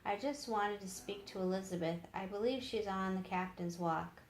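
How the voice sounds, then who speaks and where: A woman's voice: mid-range voice, moderate pace, natural voice. A woman voicing a polite, brainy young man who patiently explains things in a storybook. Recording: roomy echo with faint noise.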